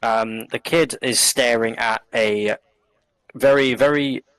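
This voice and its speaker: A man describing his character in a tabletop game in a calm, measured way. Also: mid-range voice, clear, resonant tone, no echo, rough recording. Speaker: player.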